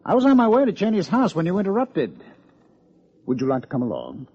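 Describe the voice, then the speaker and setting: An American actor playing a dry, needling police lieutenant with a sardonic manner in an old-time radio detective drama. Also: male voice, high voice, huge pitch swings, a little fast, warm tone, slightly rough, full character voice. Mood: dry, curious. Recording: muffled old radio recording.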